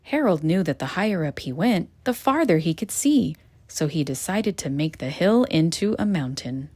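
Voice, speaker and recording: A woman reading a children's picture book aloud in a gentle, expressive storytelling manner. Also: lowish voice, normal speed. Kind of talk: children's read-aloud narration.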